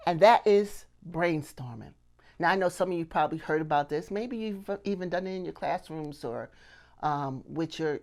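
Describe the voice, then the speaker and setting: Low-pitched middle-aged woman teaching, emphatic. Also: a little mellow. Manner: emphatic, repeats key phrases for stress. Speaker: puppetry teacher and video host. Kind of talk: instructional talk to camera.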